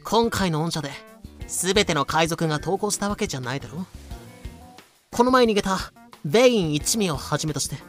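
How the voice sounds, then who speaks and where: A man's voice: high-pitched, animated, a little fast, soft background music. A man voicing a shrewd colonial governor in an audio drama, casual, persuasive and a little condescending. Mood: smooth, businesslike.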